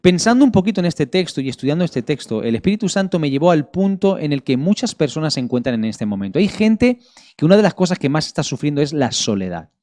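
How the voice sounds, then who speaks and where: A middle-aged man from Barcelona with a Spanish accent from Spain, preaching as a pastor to an online congregation in an earnest, measured way with pauses for emphasis. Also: a little high, very animated, a little mellow. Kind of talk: online sermon with prayer and scripture reading.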